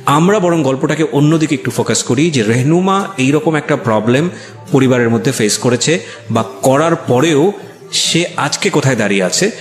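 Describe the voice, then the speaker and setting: A man with a Bangladeshi accent hosting a life-story interview, speaking to listeners in a measured, deliberate way and mixing in English phrases. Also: medium pitch, animated, soft background music. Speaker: radio show host. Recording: echoey room.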